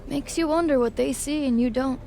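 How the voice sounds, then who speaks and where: A woman playing a cool, sly rival in a wrestling video game cutscene, measured and needling. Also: sits high, vocal fry, warm tone.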